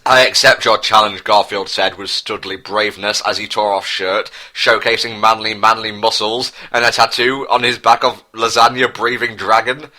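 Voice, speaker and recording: Forceful low-voiced man, mock-dramatic narrator. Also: little pitch movement, moderate pace, few pauses. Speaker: narrator and co-host.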